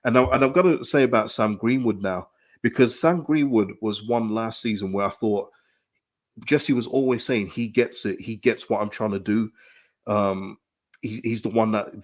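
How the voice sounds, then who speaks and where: A man, hoarse from a dry throat, giving emphatic live-stream commentary on his football club, full of restarts and repetition. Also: lowish voice. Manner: animated, emphatic, repeats phrases, frequent restarts. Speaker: football fan channel host. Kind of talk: live-stream fan commentary, reading chat.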